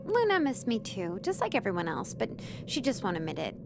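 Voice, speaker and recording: A woman's voice: mid-range voice, wildly swooping pitch, fairly quick, creaky, noisy background. A woman voicing a bubbly, teasing young woman in a visual novel game, chirpy and playful.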